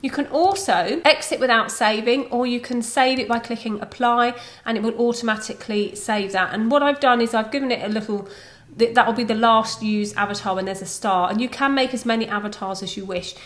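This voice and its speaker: A woman presenting a video software tutorial, explaining step by step in an informal, conversational way. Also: on the high side, fairly quick.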